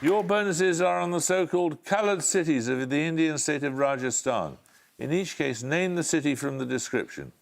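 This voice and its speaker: A male quiz host with a gravelly edge, reading each question briskly and with authority. His pitch rises and falls a lot, so every clue sounds lively. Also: a faint crowd, slight background noise.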